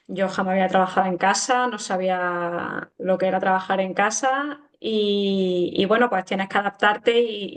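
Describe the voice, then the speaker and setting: A woman from Granada in her thirties with an Andalusian accent, interviewing a guest in a relaxed, chatty way and laughing easily. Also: mid-range voice. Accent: Andalusian Spanish. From Granada, Spain. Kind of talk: casual interview chat.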